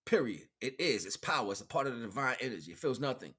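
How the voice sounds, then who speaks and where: A man's voice: medium pitch, big pitch swings, quick pace, a bit bright, breathy edge. A man giving an improvised spiritual talk in colloquial language, repeating phrases for emphasis and checking in with rhetorical questions. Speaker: spiritual teacher, livestream host. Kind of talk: improvised spiritual lecture, streamed.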